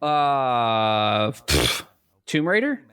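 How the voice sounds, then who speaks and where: A man co-hosting a podcast, chatting casually about video games in a halting way, often repeating and rephrasing. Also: medium pitch, big pitch swings, a bit bright, clear, resonant tone, dry recording. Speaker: podcast co-host.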